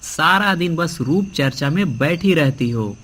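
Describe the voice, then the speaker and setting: Man playing a slightly high-voiced exasperated husband. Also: expressive, measured pace, fairly bright tone. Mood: reproving. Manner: scolding, fed up. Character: weary, exasperated husband, critical of vanity. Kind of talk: cartoon moral-story acting.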